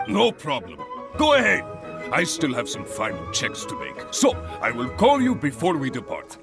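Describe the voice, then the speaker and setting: A man's voice: on the high side, huge pitch swings, moderate pace, gravelly, over music, full character voice. A man playing a courteous, businesslike guild organiser in a video game. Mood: agreeable.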